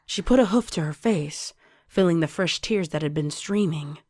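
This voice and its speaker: A woman's voice: on the low side, normal speed, a little mellow, no echo, studio quality. A woman reading scripted horror fiction as a dramatic story narrator. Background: music under the voice.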